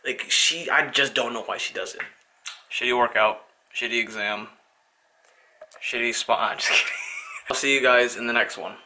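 A man's voice: medium pitch, fairly even intonation, moderate pace, pauses often, background noise. A young man and student talking casually to a handheld camera in a small bedroom, in a loose, rambling, complaining way. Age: young adult. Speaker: student vlogger. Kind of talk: casual vlog chat to camera. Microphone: handheld camera close to face.

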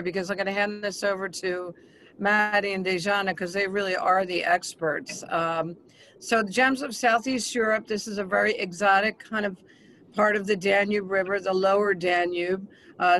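Woman, travel organizer, webinar host, halting and hesitant, mid-range voice, video call. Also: medium pitch, steady tone, a bit bright, slight background noise, rough recording. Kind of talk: webinar hosting.

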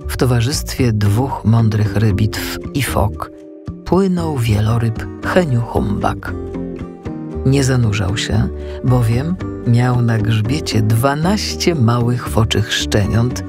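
A man narrating a children's audiobook in an expressive, dramatic storytelling manner. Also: medium pitch, slow, music in the background.

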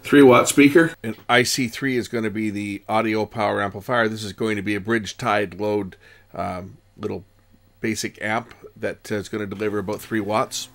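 Low-voiced gravelly man thinking aloud. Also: fairly even intonation, a bit bright. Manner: halting, thinking aloud while working. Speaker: electronics repair presenter. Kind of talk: casual hands-on teardown narration. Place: indoor workbench. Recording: faint background noise, workbench clicks. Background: faint noise, clicks of handled parts.

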